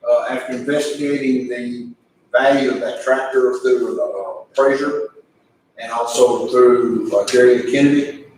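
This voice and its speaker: A man speaks as a local commissioner, plainly and deliberately. The setting is an informal meeting. He sounds away from the microphone in a heavily echoing room, with a faint layer of noise. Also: mid-range voice, fairly even intonation, darkish tone, distant mic. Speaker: county commissioner. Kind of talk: informal meeting motion and discussion.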